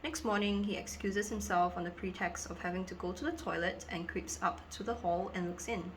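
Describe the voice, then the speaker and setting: A woman's voice: fairly low pitch, fairly even intonation, brisk, a little hiss or hum. A woman presenting acting scripts, reading the synopsis aloud to camera. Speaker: amateur actor presenting scripts.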